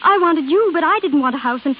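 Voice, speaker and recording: A high, youthful woman's voice from a golden-age radio drama. She is an estranged young wife, earnest and halting, wistful but firm. The sound is thin and phone-like with faint hiss and crackle. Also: expressive. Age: young adult. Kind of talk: 1930s-40s American radio drama acting. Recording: scratchy, hissy old radio broadcast, phone-like.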